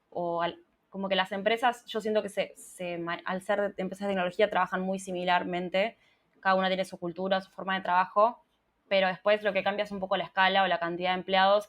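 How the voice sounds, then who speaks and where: Argentine woman speaking in a low, flat voice. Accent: Argentine Spanish. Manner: thoughtful, many restarts and repeated words. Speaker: interview guest, UX writer. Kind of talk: casual interview conversation.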